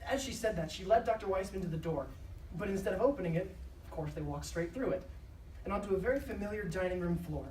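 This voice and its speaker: A woman's voice: mid-range voice, quick pace, a little mellow, a little hiss or hum. Distant, echoey woman narrating conversationally. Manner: conversational, wry asides. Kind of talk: casual scripted narration in a live show. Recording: echoey live stage, distant, music behind.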